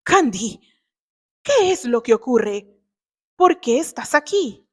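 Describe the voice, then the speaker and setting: A woman's voice: on the high side, very animated, slow, a little mellow, exaggerated voice. A woman voicing a proud, wronged young man in a dramatized novel reading, challenging and indignant. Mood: puzzled. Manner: challenging, interrogating.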